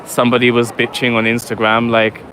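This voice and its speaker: A man's voice: medium pitch, completely flat delivery, a little fast, rough recording. A man walking city streets at night, chatting wryly to his livestream viewers. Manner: chatty, wry, talks to live chat. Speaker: livestream vlogger. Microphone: handheld phone or camera mic while walking. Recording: loud street noise behind. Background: traffic and street ambience.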